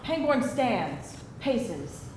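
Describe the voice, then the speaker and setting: Woman narrator, script stage directions, higher pitch, roomy echo, live table read. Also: big pitch swings, drawn-out words. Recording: echoey room with faint hiss.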